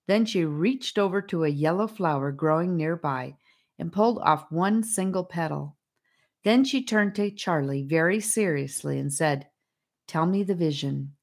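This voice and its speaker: A woman's voice: on the low side, normal speed, darkish tone. A woman reading a children's fantasy adventure as an audiobook narrator.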